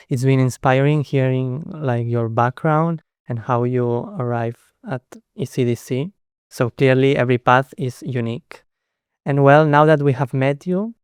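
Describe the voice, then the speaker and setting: Clear-voiced young man hosting. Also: mid-range voice, dry recording, studio quality. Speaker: podcast host. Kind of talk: scripted podcast hosting. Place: studio at an office headquarters.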